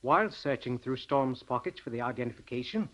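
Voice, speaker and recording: An actor playing an eager, deferential naval chief petty officer in an old radio drama, respectful and earnest. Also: male voice, mid-range voice, animated, brisk, a bit bright, lightly acted. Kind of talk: 1940s radio acting. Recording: dull old radio recording.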